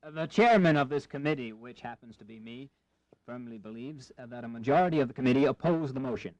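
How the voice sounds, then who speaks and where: A male actor as a reluctant, courteous founding-era gentleman in an audio drama. His voice sits at an ordinary male pitch in a dry recording, with formal wording and a hesitant, self-effacing manner. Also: quick pace, no room sound, dull audio, natural voice. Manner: hesitant, formal, mildly flustered. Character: modest, reluctant, courteous Virginian gentleman statesman. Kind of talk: historical audio drama acting.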